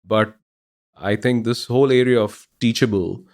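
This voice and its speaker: A middle-aged man with an Indian accent, a guest explaining his technical work in a measured way with many pauses. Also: medium pitch. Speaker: guest scientist.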